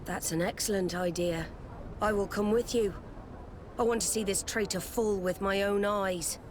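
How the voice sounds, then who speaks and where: Game role, actress: proud female naval commander, firm formal delivery, medium pitch, audible outdoor noise underneath. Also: steady tone, moderate pace, natural voice. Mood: vengeful. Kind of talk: video game cutscene acting. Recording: noisy outdoor ambience behind the voice.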